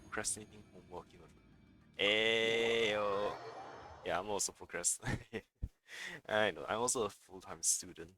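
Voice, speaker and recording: A man's voice: on the low side, measured pace. A young man chatting casually and animatedly as he livestreams a video game. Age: young adult. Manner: animated, chatty, reacting to gameplay. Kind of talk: casual livestream chat while playing. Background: video game music.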